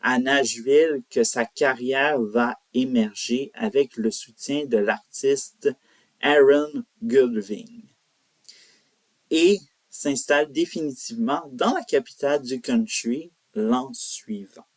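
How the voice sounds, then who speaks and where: A man hosting a music profile, narrating informally and haltingly, correcting himself as he goes. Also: medium pitch, expressive, no echo. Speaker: host of a country music profile show. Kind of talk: semi-scripted biographical narration to viewers.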